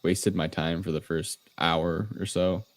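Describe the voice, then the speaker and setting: A man's voice: low-pitched, fairly even intonation, unhurried. A man co-hosting a movie review podcast in casual, conversational panel chat.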